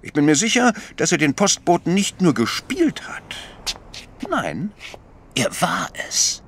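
An actor playing an astute, patient priest detective in a German audio drama, explaining deliberately with gentle chiding. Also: male voice, a little high, sing-song, vocal fry, a little hiss or hum, heavily acted.

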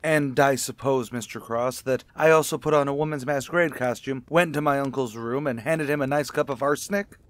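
A man playing a defensive, scornful gentleman, indignant and sarcastic, in an old-time radio mystery. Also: medium pitch, a little fast, hardly any pauses, no echo, lightly acted.

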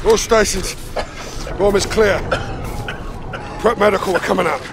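An actor playing a gruff, battle-hardened British army captain in a military game, terse and commanding. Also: male voice, high-pitched, a little slow, over music. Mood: urgent command. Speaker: special forces captain. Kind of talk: cinematic military game acting.

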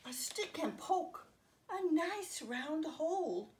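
Slow, very animated woman reading to children. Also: sits high, a little hiss or hum. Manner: expressive, simple explaining for small children. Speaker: children's story time host. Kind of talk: read-aloud to young children with asides. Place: home living room, indoors.

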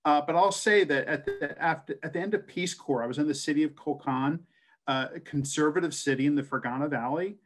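A man's voice: on the high side, brisk. Earnest middle-aged man on a video call. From American West. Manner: earnest, storytelling, with frequent uh fillers and restarts. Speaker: translator and scholar giving a talk. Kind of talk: personal presentation on a video call. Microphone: webcam video call.

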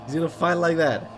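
A man's voice: a little high, creaky, noisy background. A young man reacting live to an anime episode in his bedroom, exclaiming, swearing and laughing as he watches. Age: young adult. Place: bedroom with posters, indoors.

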